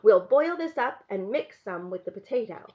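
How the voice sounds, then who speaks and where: A woman's voice: mid-range voice, expressive, moderate pace, warm tone, dull audio, lightly acted. A woman voicing a caring, practical young girl in a storybook, explaining patiently and earnestly. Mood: instructing.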